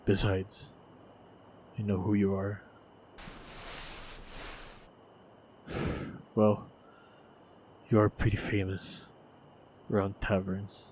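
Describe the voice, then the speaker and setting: Man acting a low, guarded, battle-worn monster hunter. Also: completely flat delivery, darkish tone, a little hiss or hum, low-quality recording. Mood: weary, guarded, then solemn, respectful. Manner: measured, halting, guarded delivery with heavy sighs. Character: wounded, battle-hardened lone male monster hunter, wary, stoic. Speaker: voice actor in audio roleplay. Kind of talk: dramatic fantasy audio roleplay acting. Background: faint ambient sound effects.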